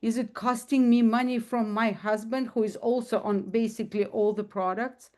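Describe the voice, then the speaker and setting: A woman's voice: on the high side, steady tone, moderate pace, a little mellow. Russian-accented woman, earnest guest speaker, emphatic and repetitive. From former Soviet Union. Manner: earnest, emphatic, repeats herself. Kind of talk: casual talk to an audience.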